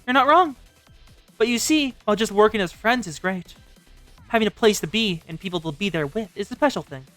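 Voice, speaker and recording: A woman's voice: medium pitch, expressive, fairly quick, fairly bright tone, faint music behind, natural voice. A woman voicing an earnest, loyal schoolgirl from a game, explaining herself sincerely.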